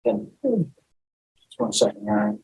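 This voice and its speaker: A man acting as prosecutor, asking formal, halting questions in a courtroom. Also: on the low side, very animated, creaky, a little mellow. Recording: distant, faintly noisy courtroom audio.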